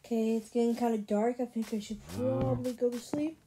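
A woman's voice: fairly high pitch, steady tone, fairly quick, background noise. A woman giving casual let's play commentary, rambling and thinking aloud to her viewers. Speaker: gaming video host. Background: background music.

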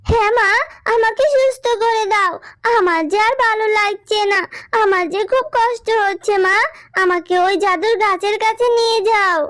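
A performer voicing an excitable, whiny little girl fox cub in a cartoon, pleading and childlike. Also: very high voice, normal speed, no room sound, clean studio sound. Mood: whining, unwell.

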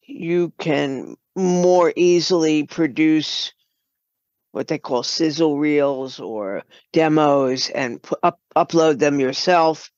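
Woman podcast guest, talks slow and kinda halting, close dry sound but a bit lo-fi. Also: sits low, a little mellow, no room sound. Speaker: podcast guest, writer and producer. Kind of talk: unscripted interview talk.

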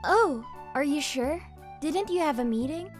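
A woman's voice: sits high, unhurried. A woman playing a guarded, nonchalant university student niece in a story, with an offhand manner. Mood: polite, reserved. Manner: flat, offhand. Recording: music behind the voice.

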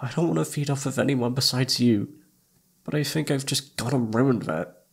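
A man playing a shy, flustered young incubus with a husky, slightly creaky voice, stammering and hesitant in a romantic ASMR audio roleplay. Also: medium pitch, moderate pace. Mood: bashful, yearning. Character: shy, flustered young male incubus roommate confessing his crush.

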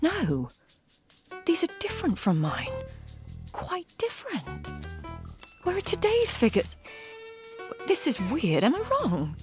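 An actress with a British accent playing a clever, polite young undercover secretary in a radio drama. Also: female voice, sits high, exaggerated voice.

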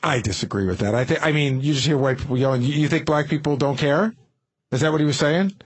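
A man's voice: fairly high pitch, quick pace, slightly rough. A man on a radio panel, talking casually and rambling with repeated phrases. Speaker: radio show staffer and panelist. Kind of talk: casual discussion.

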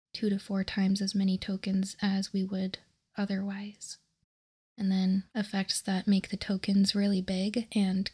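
A woman softly whispering ASMR narration close to the mic, calmly and methodically explaining as a host. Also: mid-range voice. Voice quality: soft, breathy whisper. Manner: calm, measured explaining. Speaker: host of a card game ASMR channel.

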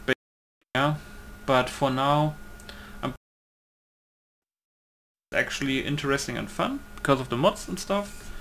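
A man gives casual gaming commentary in a mid-pitched voice. He rambles and breaks off to restate himself. There is clear noise behind him. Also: lively intonation, a little slow, long pauses, fairly bright tone, rough recording. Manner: rambling, self-interrupting. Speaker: gaming YouTuber. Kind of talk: casual let's-play commentary.

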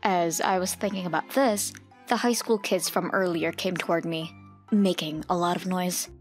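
A woman's voice: fairly low pitch, fairly even intonation, moderate pace. Woman narrating a story over faint music. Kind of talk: scripted first-person story narration.

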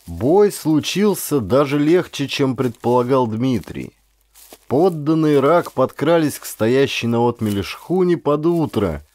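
Animated male audiobook narrator. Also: medium pitch, no echo. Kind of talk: dramatic scripted audiobook narration of historical adventure.

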